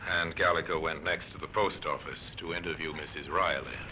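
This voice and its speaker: A man's voice: rumbling low, steady tone, brisk, background noise. A man reading scripted narration for an old-time radio mystery drama. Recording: muffled old radio recording.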